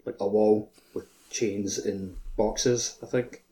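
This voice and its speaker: A man co-hosting a podcast, chatting casually about a video game in a halting way, peppered with "like". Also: on the low side, fairly even intonation, mellow, a little hiss or hum, low-quality recording. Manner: halting, says "like" constantly. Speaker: podcast co-host.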